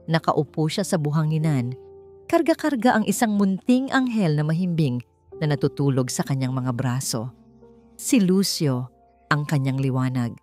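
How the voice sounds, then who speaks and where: A woman reads a dramatic story in a fairly low voice. Her delivery is measured and theatrical, shaped for storytelling. Soft music plays behind her. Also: lively intonation. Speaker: narrator. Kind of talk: scripted dramatic story narration. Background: background music.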